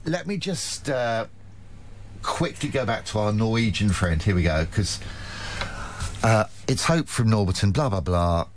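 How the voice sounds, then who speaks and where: A man's voice: on the low side, expressive, unhurried, noisy background. A male radio presenter running a listener quiz, chatty and self-deprecating, laughing often. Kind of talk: local radio quiz chat.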